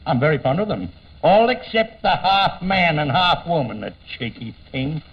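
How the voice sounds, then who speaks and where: An actor playing a cheeky, boastful hot-dog vendor with an Australian accent and camp comic patter on old-time radio. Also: male voice, a little high, lively intonation, normal speed, natural voice. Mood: chatty, boastful. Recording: muffled old radio recording, audience behind.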